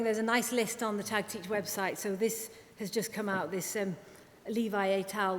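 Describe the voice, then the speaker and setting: A woman speech and language therapist giving a chatty conference presentation, full of quick asides and self-deprecating remarks. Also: a little mellow. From Wales. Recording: reverberant hall with faint rumble.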